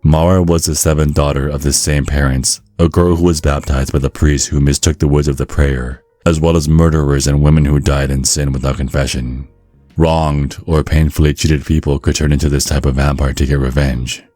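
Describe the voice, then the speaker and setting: A man reading scripted ASMR narration in a measured, storytelling manner. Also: rumbling low, flat delivery, mellow, no room sound, soft background music.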